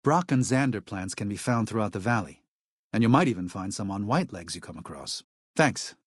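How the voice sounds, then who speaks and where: An actor playing a gentle, devout male missionary in a video game, speaking in an earnest, soft-spoken, hesitant way with worry for his flock. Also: on the low side, animated, a little fast, breathy edge, dry recording, clean studio sound. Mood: grateful. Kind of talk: video game character dialogue.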